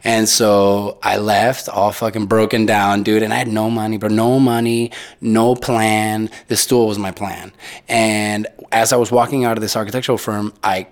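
A man's voice: fairly low pitch, level tone, moderate pace. A man from Mexico whose first language is Spanish, a guest telling his story in casual, slangy talk full of dude, bro and swearing.

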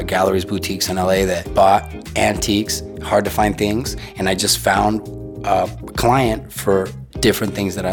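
A man's voice: deep voice, gravelly. A young man from the Tijuana area, a native Spanish speaker, telling his story in a casual, laid-back way, calling people dude and bro. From Mexico, Tijuana area. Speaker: interviewee. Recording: music playing underneath.

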